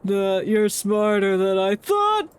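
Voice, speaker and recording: A woman's voice: mid-range voice, very animated, slow pace, clear, resonant tone. A woman streaming a game with casual, joking, theatrical commentary over game music.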